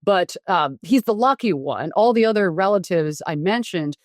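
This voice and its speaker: A woman doctor who grew up in the US after being born in Taiwan, explaining frankly in a relaxed interview with many fillers and restarts. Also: on the low side, moderate pace, no room sound, studio quality. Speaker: doctor and guest. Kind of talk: conversational interview.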